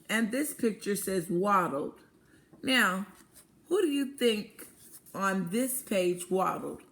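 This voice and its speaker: A woman's voice: mid-range voice, a bit bright. A woman reading a picture book to young children as a teacher, asking questions, affirming answers and explaining words simply. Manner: asks questions, pauses, then affirms answers. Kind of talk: interactive picture-book read-aloud lesson.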